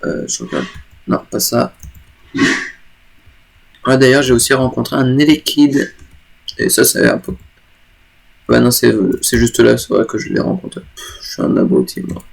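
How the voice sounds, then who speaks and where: A man's voice: medium pitch, moderate pace, creaky, long pauses. A man with a cold, casually commenting on a game from home, rambling, correcting himself and joking along the way. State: stuffy with a cold. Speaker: gaming YouTuber. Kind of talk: casual let's play commentary.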